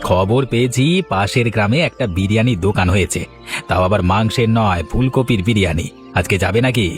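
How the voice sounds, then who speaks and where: Guy doing a cocky scheming con man for a cartoon, lowish voice, bit of music behind. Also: a little fast, lightly acted. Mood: smug, scheming. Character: cocky, scheming male swindler, wheedling sweetheart. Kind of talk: cartoon voice acting.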